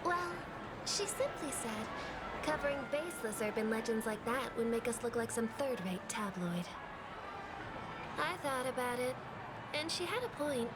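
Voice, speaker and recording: A woman's voice: a little high, expressive, normal speed, heavy background noise, natural voice. A woman playing a frank, wry young reporter in a video game, conversational and worldly. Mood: wry, resigned. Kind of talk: video game character dialogue. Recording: loud music behind. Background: loud game music.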